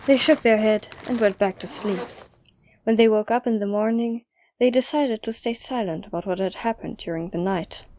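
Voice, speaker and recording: A woman narrates an audio drama as a scripted story. The voice is mellow and soft-edged, at a medium pitch. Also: moderate pace.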